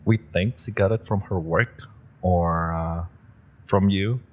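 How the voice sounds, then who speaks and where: A man's voice: low-pitched, steady tone, slow pace, a little mellow. A man playing an eager, awkward young male super-powered rookie, rambling with nervous laughs and many fillers. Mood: hurt. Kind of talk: audio roleplay acting.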